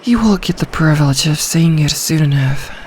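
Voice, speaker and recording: A woman playing an ancient, possessive sea dragon in human form for an ASMR roleplay, speaking in a regal, seductive, deliberate manner. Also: low voice, lively intonation, a little mellow, a little hiss or hum. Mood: proud, imperious.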